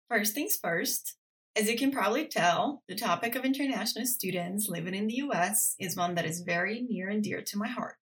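A woman from Brazil hosting a podcast, reading her scripted narration in a measured, earnest way. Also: mid-range voice. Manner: measured, earnest, reads with steady emphasis. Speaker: podcast host. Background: faint music.

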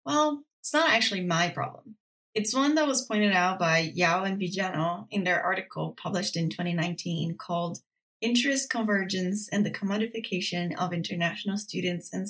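A woman from Brazil hosting a podcast, reading her scripted narration in a measured, earnest way. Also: medium pitch. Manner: measured, earnest, reads with steady emphasis. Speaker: podcast host. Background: faint music.

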